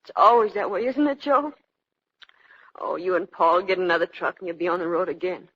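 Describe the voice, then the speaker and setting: An actress playing a worried, world-weary trucker's wife in 1940s radio melodrama, with an American accent. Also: female voice, lowish voice, fairly quick, a bit bright, breathy edge. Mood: resigned. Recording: muffled 1940s radio broadcast.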